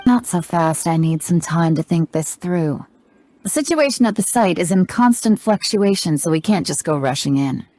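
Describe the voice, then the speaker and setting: An actress playing a cool, calculating female operative in a video game, speaking in a measured, confident way. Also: fairly low pitch, expressive, dark tone, no room sound, natural voice. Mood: cool, cautious. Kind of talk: scripted video game dialogue. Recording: game music under the voice.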